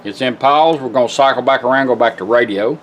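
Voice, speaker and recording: A man's voice: mid-range voice, fast, music in the background. A plainspoken, folksy man giving a casual, step-by-step hands-on product demonstration. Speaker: product demonstrator and car radio rebuilder.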